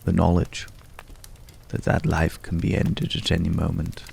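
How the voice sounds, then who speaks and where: A man acting a mysterious, courtly, world-weary man in an intimate, hushed ASMR roleplay, with florid, old-fashioned, pensive phrasing and a gravelly voice. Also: low-pitched, completely flat delivery, measured pace, slight background noise, heavily acted. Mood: wistful, pensive.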